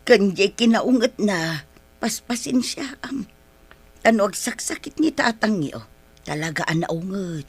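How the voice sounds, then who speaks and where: An actress playing a weary, long-suffering older mother in a radio drama, husky and exasperated. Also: medium pitch, animated, measured pace. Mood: resigned warning.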